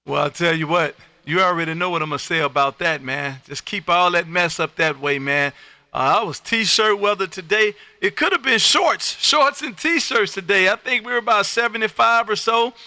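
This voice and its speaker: A man's voice: high-pitched, moderate pace, bright, forceful tone, no echo. A man from Texas hosting an amateur radio livestream, chatting and calling out in a folksy, effusive way and repeating his phrases. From United States, Texas. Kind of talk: casual ham radio chat and call-outs.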